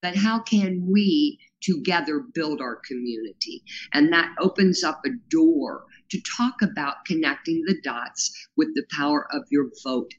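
A woman's voice: sits low, lively intonation, unhurried, hardly any pauses, dull audio. A woman being interviewed as a grassroots organizer, talking in an earnest, rambling way with many fillers and a powerful voice. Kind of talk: casual interview talk.

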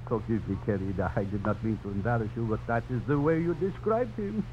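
A man's voice: medium pitch, lively intonation, moderate pace, darkish tone, lightly acted. An actor playing a courtly older governor and fond father, formal and genial, in a 1950s radio drama. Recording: muffled old radio broadcast, faint hum.

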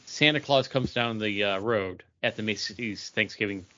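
A man's voice: on the low side, moderate pace, sharp tone. An American man in casual conversation as a podcast co-host. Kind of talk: casual chat. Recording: dull lo-fi voice recording.

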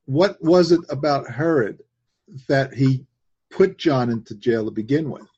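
Husky man hosting with a New Jersey accent. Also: mid-range voice, animated, mellow. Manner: hesitant, halting. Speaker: host. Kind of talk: casual religious talk show. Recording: dull lo-fi sound.

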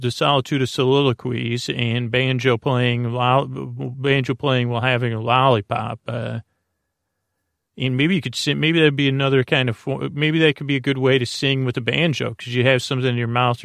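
A man hosting a sleep podcast in a soft, lulling voice with a gravelly edge. He meanders from thought to thought with many pauses and restarts. His voice is recorded close and dry, with no room sound. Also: mid-range voice, no room sound. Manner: meandering, hesitant, full of fillers and restarts. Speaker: podcast host. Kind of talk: rambling bedtime podcast talk.

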